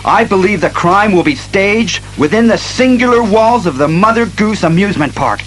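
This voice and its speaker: A man playing a stern, analytical masked detective, speaking resolutely and deliberately in a superhero audio drama. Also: sits high, expressive, a bit bright, soft background music, low-quality recording. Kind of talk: superhero radio drama acting.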